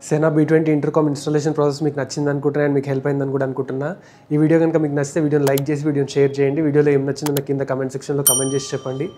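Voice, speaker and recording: A man's voice: mid-range voice, level tone, rapid-fire, dark tone. A man with a Telangana Telugu accent explains step by step in a casual, conversational hands-on tutorial as a vlog host. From India. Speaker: motovlogger and host.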